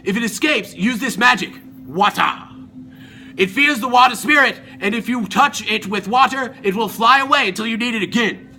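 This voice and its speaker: A man's voice: very high voice, normal speed, pushed and bright, a little hiss or hum, exaggerated voice, poor audio. A man playing a persuasive Titan who befriends mankind, speaking in an earnest, explanatory way in a myth performance. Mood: instructive. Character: persuasive, questioning male Titan, friend of mankind.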